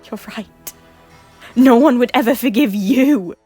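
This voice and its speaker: A woman playing a remorseful former queen who refuses a ghost's orders, often hushed and whispering. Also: a little high, unhurried, breathy edge. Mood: defiant. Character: guilt-ridden former Scottish queen, defiant yet remorseful. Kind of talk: amateur audio drama acting. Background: faint music.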